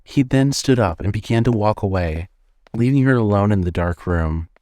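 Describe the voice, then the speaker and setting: A man's voice: lowish voice, animated, moderate pace, dark tone. A man giving scripted narration as the narrator of a fiction podcast.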